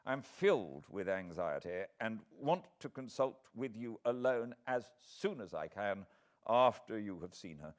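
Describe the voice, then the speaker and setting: A British man playing a worried, earnest gentleman writing to an old friend, in an audiobook reading. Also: fairly low pitch, sing-song, a little slow. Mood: anxious, earnest. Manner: anxious, earnest. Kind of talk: dramatised audiobook letter reading.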